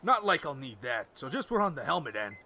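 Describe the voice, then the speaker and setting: A man's voice: medium pitch, sing-song, fairly quick, bright, forceful tone, a little hiss or hum. An actor playing a defiant male outlaw on the run in an audio drama, sardonic and wisecracking. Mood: wry musing.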